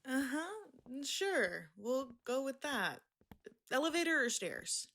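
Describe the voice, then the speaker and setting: An actress playing a sarcastic, casual patient who guides a newcomer in an audio drama. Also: female voice, high-pitched, sing-song, takes it slowly, gravelly, bright, forceful tone, heavily acted. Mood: teasing. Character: wry, breezy fellow patient showing a newcomer around.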